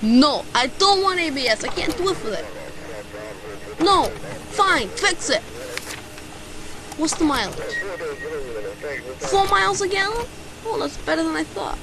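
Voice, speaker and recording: A woman's voice: very high-pitched, expressive, a little slow, long pauses, exaggerated voice, rough recording. A woman playing a bumbling, self-important private detective in an amateur radio drama, with a pompous, theatrical delivery. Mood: exasperated haggling.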